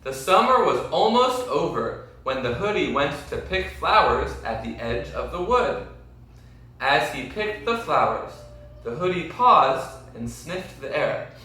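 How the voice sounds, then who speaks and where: A man reads a children's story aloud with slow, deliberate, dramatic phrasing. His bright voice rises and falls a great deal. The recording is very echoey, as if from across a room. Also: fairly high pitch, very animated. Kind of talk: expressive children's story reading. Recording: very echoey room, distant mic.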